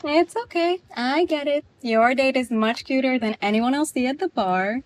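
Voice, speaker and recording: An actress playing a friendly, sympathetic office coworker in an audio drama. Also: female voice, sits high, moderate pace, lightly acted. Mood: breezy, kind.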